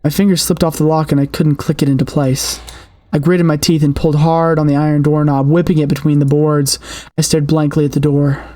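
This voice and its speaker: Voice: mid-range voice, level tone, brisk, dark tone. A streamer reading a horror story aloud with dramatic expression and the occasional stumble.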